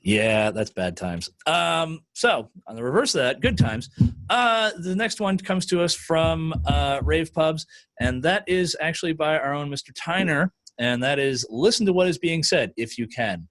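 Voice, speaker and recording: A man's voice: fairly high pitch, lively intonation, measured pace, fairly bright tone, no echo. A man hosting a podcast in casual chat, rambling and chatty, acting out little quotes as he talks. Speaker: podcast host.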